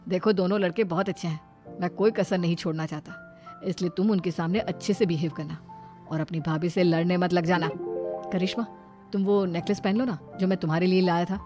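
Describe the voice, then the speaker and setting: A stern, protective older brother, voiced by a woman storyteller in a cartoon family tale. He talks like the head of the house, quick to scold, with music underneath. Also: lowish voice, steady tone, pitched below the performer's own voice, close to an everyday voice. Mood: earnest, instructing. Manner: instructing, scolding.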